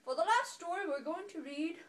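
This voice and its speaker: A woman's voice: very high-pitched, brisk. A woman reading a storybook aloud to a small child at home, chatting casually in between in a playful, sing-song way. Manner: playful, sing-song child-directed talk with dramatic gasps.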